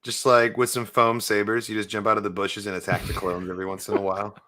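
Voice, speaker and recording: Host: New Zealand man, lowish bright voice, upbeat casual fan chat. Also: little pitch movement, fast. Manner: enthusiastic, laughs often, fillers. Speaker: podcast host.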